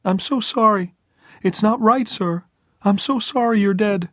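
A man's voice: high voice, measured pace, a bit bright, dry recording, clean studio sound, pitched above the performer's own voice. A man voicing a frantic, grieving boy in a breaking treble voice for an audiobook. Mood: grief-stricken, crying out.

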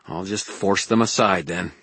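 A man's voice: deep voice, normal speed, slightly rough, no echo, full character voice. A man voicing a stubborn, wounded male wizard in an audiobook, speaking intensely with insistent emphasis. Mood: determined. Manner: forceful, insistent, emphatic delivery. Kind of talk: audiobook character dialogue.

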